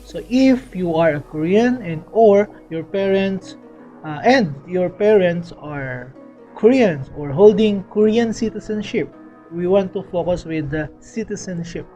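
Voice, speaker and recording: Young man from the Philippines, high and soft-toned, casual vlogger with lots of fillers, faint music underneath. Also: expressive, measured pace, highs cut off. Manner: chatty, repeats "okay" often, frequent fillers and restarts. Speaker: vlogger explaining a scholarship. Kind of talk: casual explanatory vlog. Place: indoors, small room.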